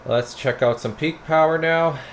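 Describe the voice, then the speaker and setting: A man's voice: a little high, measured pace, slight background noise. A man giving a casual hands-on product demo in an informal, rambling way, talking to viewers as guys. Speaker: radio repairer and product reviewer.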